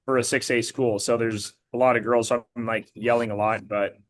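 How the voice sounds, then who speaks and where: A man with a slightly hoarse, worn voice talks in a loose, rambling way. He circles back and restarts often, and fills pauses with "um" and "like". His pitch sits in the ordinary middle range for a man. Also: little pitch movement. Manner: rambling, many fillers and restarts. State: voice partly lost, a bit hoarse. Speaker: blood analysis practitioner and girls flag football coach. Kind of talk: casual interview answers.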